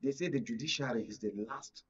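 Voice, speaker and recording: A man's voice: mid-range voice, a little fast, husky, dull audio. A Nigerian man speaking as an analyst on a political panel, emphatic and fond of rhetorical questions. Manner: emphatic, rhetorical questions with repeated check-ins. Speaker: studio guest, political analyst.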